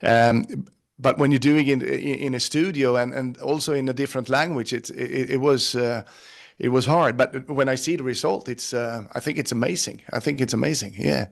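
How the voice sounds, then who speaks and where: A male actor from Sweden with a Swedish accent, answering interview questions in a halting way with many restarts. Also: mid-range voice, fairly even intonation, vocal fry. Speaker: actor guest.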